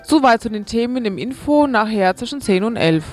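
A woman's voice: a little high, lively intonation, brisk. A woman radio presenter reading scripted event listings and programme announcements. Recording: music behind the voice. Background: music.

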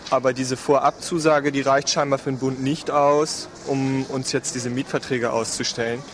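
A man's voice: medium pitch, little pitch movement, moderate pace. A man speaking spontaneously as a member of a housing initiative in an interview. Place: outdoors on a town square. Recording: outdoor street interview with crowd and traffic.

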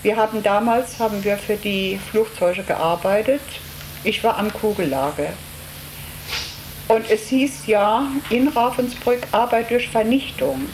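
An older woman giving eyewitness testimony, recalling her past in a plain, halting way with emphatic repetition. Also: medium pitch, darkish tone. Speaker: camp survivor giving testimony. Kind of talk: spoken eyewitness recollection. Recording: noisy interview with crackling hiss.

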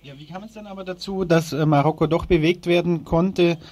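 Higher-voiced male radio interviewer. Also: steady tone, fairly quick, a little mellow, no room sound. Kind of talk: scripted radio report and interview questions.

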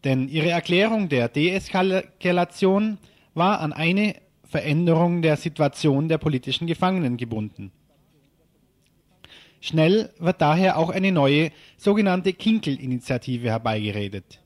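A man presents radio news in a somewhat high voice. He reads a prepared commentary in the manner of a studio news host. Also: expressive, measured pace.

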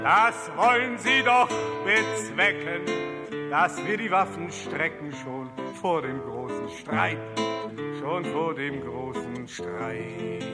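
A man's voice: on the high side, lively intonation, slow. A man singing a folk song, accompanied by a guitar. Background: acoustic guitar.

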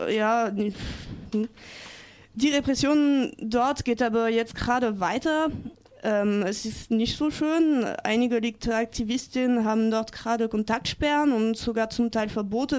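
A woman presenting a radio news report in a halting way, stumbling over her words. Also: a little high, a little mellow, clean tone.

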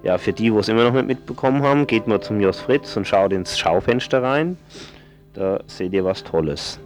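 A man's voice: fairly low pitch, expressive, normal speed, faint music behind. A man on community radio reading event listings in a loose, casual way, stumbling and correcting himself as he goes. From southwest Germany. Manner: informal, stumbling, self-correcting. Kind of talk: casual live radio announcements.

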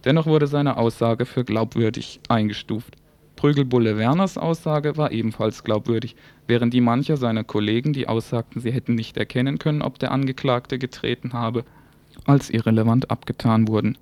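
A man's voice: mid-range voice, darkish tone. A man reading scripted news commentary as a community radio presenter in a studio. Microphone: single shared studio microphone.

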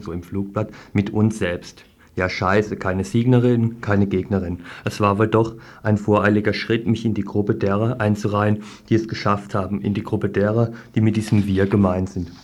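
A man's voice: on the low side, darkish tone. A man presenting scripted items on community radio, reading aloud with an occasional stumble.

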